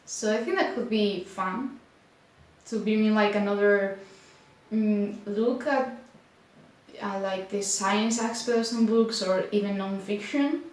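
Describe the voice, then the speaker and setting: A young woman from Spain speaking English with a Spanish accent, chatting casually to camera in an unhurried way, full of fillers like "like". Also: medium pitch, steady tone. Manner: unhurried, many filler likes, slightly unsure. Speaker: booktuber. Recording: very echoey room.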